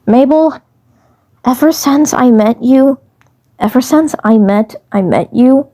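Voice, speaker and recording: A woman's voice: high voice, slow, dark tone. A woman voicing a small male cartoon commander, teasing and smitten, who repeats his phrases hesitantly and earnestly. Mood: nervous, earnest.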